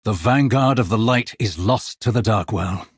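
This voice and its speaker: An actor playing a solemn, burdened elven leader in a video game, speaking gravely and formally with a husky voice. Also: male voice, on the low side, moderate pace, no echo, clean studio sound. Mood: grave resolve. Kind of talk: dramatic video game voice acting.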